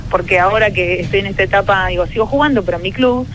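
A woman's voice: mid-range voice, fast talker, slight background noise, poor audio. An Argentine woman, a sociologist and field hockey player, answering earnestly in a radio interview with frequent restarts. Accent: Argentine Spanish. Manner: earnest, thoughtful, many restarts and fillers.

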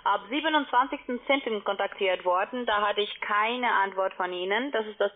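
Foreign woman doing a pushy telesales pitch, not a native speaker, tinny phone audio. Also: normal speed. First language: not German. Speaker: call-centre agent selling online trading. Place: phone call. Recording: tinny, band-limited phone line.

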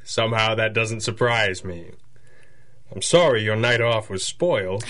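An actor playing a composed, gentlemanly superhero in a 1930s radio drama, with a measured, formal and dry manner. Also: male voice, on the low side. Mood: reserved, gently stern. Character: composed, gentlemanly male masked superhero, the Red Panda.

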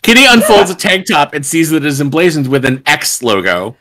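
A man's voice: a little high, sing-song, a little fast, sharp tone. A man doing a comedic live table read on a video call from home, irreverent and sweary. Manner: irreverent, sweary, breaks into laughter. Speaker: podcast host.

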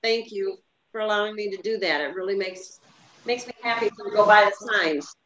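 A woman's voice: mid-range voice, normal speed, pushed and bright. An older woman giving an informal committee report at a village board meeting over a video call. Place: indoor room at home on video call. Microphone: computer mic on video call. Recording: muffled video call audio.

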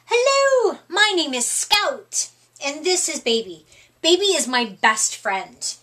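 Eager little girl puppy, played by a woman, storybook style, high and very animated, childlike exclamations. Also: slow, heavily acted. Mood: cheerful. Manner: exclamatory, childlike, simple short phrases. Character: eager, helpful young female puppy narrating her adventures. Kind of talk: children's picture-book reading.

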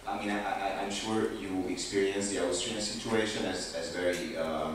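A young man with a Hungarian accent speaking on a conference panel, slow and deliberate, with many hesitations and restarts. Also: low voice, steady tone. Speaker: panelist, activist. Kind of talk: panel discussion talk. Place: large indoor conference room, seated on a sofa panel. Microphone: handheld mic, recorded from a far camera. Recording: echoey hall from far off with background noise.

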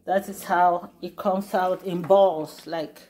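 A woman's voice: on the low side, fairly even intonation, slow pace, warm tone. Chatty woman explaining a home recipe. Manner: chatty, explains step by step with many fillers. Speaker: cooking vlogger. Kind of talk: casual cooking demonstration. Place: home kitchen.

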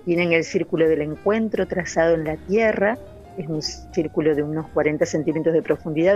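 A woman's voice: low-pitched, a little mellow. A middle-aged woman, a novelist, talking earnestly in an interview with many hesitant fillers, over a remote call. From Santa Fe, Argentina. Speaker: novelist guest. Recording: dull, lo-fi remote audio.

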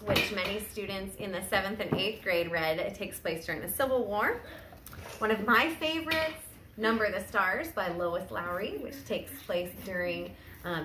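An echoey indoor phone recording with faint background noise carries a woman in her thirties. She speaks at a middling pitch in a casual presenting style, like a teacher addressing students. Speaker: teacher introducing a children's summer reading challenge. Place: indoor room at home, sitting on a wooden floor against a wall. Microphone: phone camera a short distance away.

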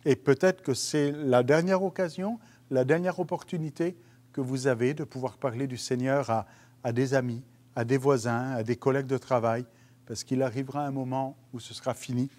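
A man's voice: on the high side, darkish tone. A man, a pastor giving an earnest video teaching for home groups, often restarting his sentences, recorded on a lapel mic on a small studio stage. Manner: earnest, hesitant with many restarts and fillers. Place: studio stage with dark curtain.

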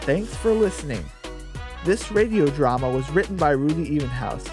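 A man's voice: on the high side, animated, moderate pace, music in the background. A man narrating a scripted radio play as its storyteller.

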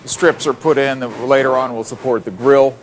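A man's voice: mid-range voice, fairly even intonation, a little fast, low-quality recording. A man guiding a walking tour through a factory, explaining each step plainly with frequent fillers and restarts. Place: loud factory floor. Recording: factory noise behind voice.

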